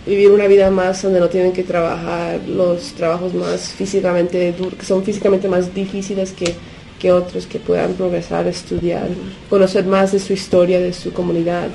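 Woman, US-raised native Spanish speaker, radio guest, creaky voice, halting delivery, English fillers, faint background noise. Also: lowish voice, flat delivery, mellow, low-quality recording. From United States. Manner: halting, searching for words, slips into English fillers. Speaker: interview guest from a punk collective. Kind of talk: unscripted radio discussion.

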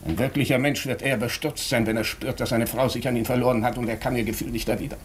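A man's voice: medium pitch, steady tone, close to an everyday voice. Guy acting serious doctor in a radio play, pushy questions, morally firm, bit of noise. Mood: probing, tactful. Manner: urgent, insistent, deliberate rhetorical questioning. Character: earnest, probing male doctor, morally insistent. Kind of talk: German radio drama acting.

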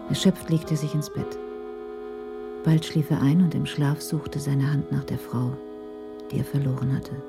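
A woman narrating a radio crime drama in a measured, literary way. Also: sits low, fairly even intonation, music in the background.

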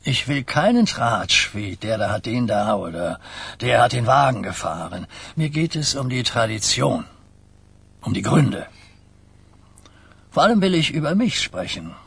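Elderly mob patriarch, husky, wary, burdened, courteous, blunt short phrases, radio crime drama. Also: male voice, mid-range voice, sing-song, a little slow, a bit bright, dry recording, exaggerated voice. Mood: candid. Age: older. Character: old New York mafia boss, burdened, wary, courteous. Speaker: actor.

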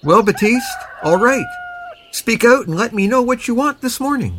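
A man plays a cordial English livestock breeder in a comic folk tale. His high voice moves up and down a lot as he speaks bluntly and emphatically. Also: expressive, measured pace, a bit bright, slight background noise, full character voice. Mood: hearty.

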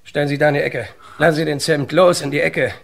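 Brusque male crook, gravelly and raised voice, commanding, old radio crime play. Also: a little high, steady tone, fast talker, a bit bright. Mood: menacing, impatient. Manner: barking, commanding. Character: brusque, threatening male crook demanding money. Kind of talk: vintage German radio crime drama acting.

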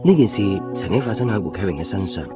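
A man's voice: on the low side, sing-song, very fast. A man playing a weary, lonely shopkeeper who narrates a radio drama in a colloquial, brooding way, with a Hong Kong Cantonese accent. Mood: uneasy, reflective. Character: weary, lonely male copy-shop keeper. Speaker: lead actor and first-person narrator. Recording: dull lo-fi radio recording, music behind.

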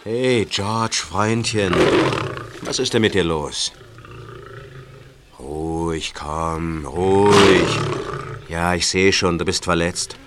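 An actor in a German radio play voicing a firm uncle who raises lions and knows how to soothe them. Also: male voice, deep voice, slow pace, long pauses, very noisy. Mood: soothing. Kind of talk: German audio drama acting.

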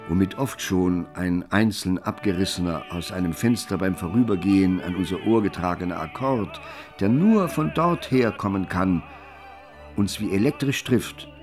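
Low-voiced male literary narrator. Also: darkish tone. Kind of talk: scripted literary narration for radio play.

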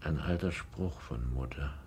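An actor playing a brooding, sardonic middle-aged man in a radio drama, telling his memories and sinking into hushed tones. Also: very deep voice, measured pace, a little hiss or hum. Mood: hushed, haunted.

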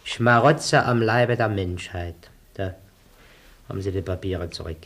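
A nazi secret agent for radio drama, low voice, slow and sneering, mocking. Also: male voice, fairly bright tone, full character voice. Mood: mocking menace. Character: sneering, menacing German Nazi agent in plain clothes. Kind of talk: radio play acting.

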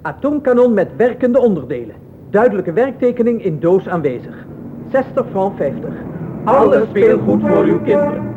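An actor in a radio play voicing a toy shop voice that declaims war toys and prices like sales patter. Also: male voice, high voice, big pitch swings, moderate pace. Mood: insistent hawking. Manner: declaiming item lists and prices. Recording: thin phone-like old radio recording, faint noise.

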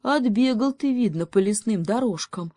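A woman's voice: high-pitched, big pitch swings, moderate pace, dry recording, pitched above the performer's own voice. A woman voicing a male hare in a children's story, sighing and wailing with feigned pity. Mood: mock pity. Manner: wailing, pitying.

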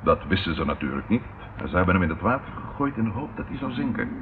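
An actor playing a shrewd policeman in a radio play, deliberate and matter-of-fact in manner. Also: sits low, big pitch swings. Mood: grave, factual. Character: measured, shrewd policeman colleague reporting grim findings. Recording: muffled, noisy old radio recording.

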